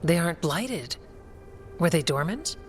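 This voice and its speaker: An actress playing a practical, wryly calm warrior woman in a video game, speaking in a measured, matter-of-fact way. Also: fairly low pitch. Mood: reflective, steady. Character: steady, practical Grey Warden woman, calm and wryly dry. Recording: music behind the voice.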